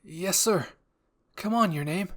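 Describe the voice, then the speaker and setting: A man's voice: high voice, takes it slowly, a bit bright, voice pushed up, heavily acted. A man voicing a young male soldier who is earnest, alert and kind, with an inquisitive manner, in an anime fan roleplay.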